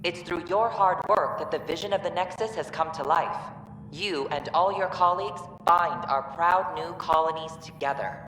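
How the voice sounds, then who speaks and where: A woman's voice: on the low side, fairly bright tone, close to an everyday voice. Woman as echoing, proud visionary founder. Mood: proud, uplifting. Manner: measured, oratorical. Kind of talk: formal recorded welcome speech in a game. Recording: distant, echoing hall-like reverb, faint noise.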